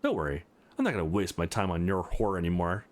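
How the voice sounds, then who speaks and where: A man's voice: low voice, sing-song, fast, voice pushed down. A man voicing a cocky, hostile young male bully in a visual novel, sneering and threatening.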